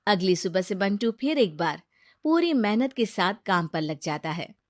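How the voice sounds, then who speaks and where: A woman's voice: medium pitch, sing-song, normal speed, dry recording, clean studio sound. A woman narrating a scripted moral story for a cartoon.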